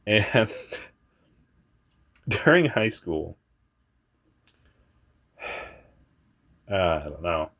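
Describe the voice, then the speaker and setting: Deep-voiced young man rambling, slow. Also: big pitch swings, fairly bright tone. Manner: rambling and candid, laughing at himself, swearing, tangents. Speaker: vlogger. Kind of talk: personal storytime monologue.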